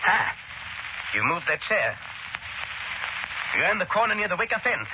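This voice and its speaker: An actor playing a proud, sinister doctor and hypnotist in a formal, clipped 1930s radio style. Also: male voice, sits high, very animated, moderate pace. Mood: menacing, defiant. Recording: muffled, noisy 1930s radio recording.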